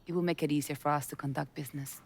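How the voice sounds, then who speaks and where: A woman's voice: sits low, fairly even intonation, rapid-fire, gravelly, darkish tone, slightly rough, full character voice. A woman, voicing a tough smuggling boss in a video game, blunt and businesslike. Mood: earnest. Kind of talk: video game cutscene acting.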